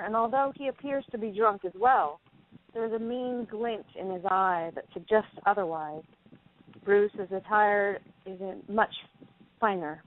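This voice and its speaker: Woman reading stage directions, mid voice, thin phone line audio. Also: medium pitch. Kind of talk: scripted narration. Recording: tinny narrowband phone-like line.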